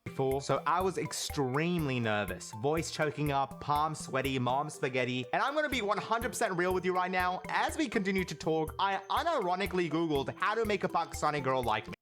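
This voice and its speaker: A man whose first language is Urdu, narrating an animated story with earnest, self-deprecating humor. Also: a little high, a little fast. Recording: voice-over with background music.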